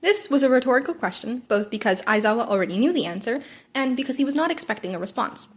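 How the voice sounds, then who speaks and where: Female amateur audiobook-style narrator, slightly high husky voice, restarts stumbled phrases. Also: animated, fast talker. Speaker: podfic narrator. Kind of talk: amateur fan fiction reading.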